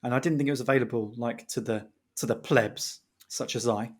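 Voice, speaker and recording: A man with a rough, husky voice of medium pitch talks very quickly. He co-hosts a casual podcast and comes across as dry and wry. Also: steady tone. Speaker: podcast co-host. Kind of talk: casual chat.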